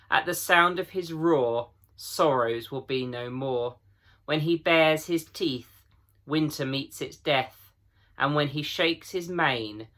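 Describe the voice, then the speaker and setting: A man voicing a gruff, proud old talking beaver in a children's storybook, folksy and emphatic. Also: medium pitch, bright, forceful tone.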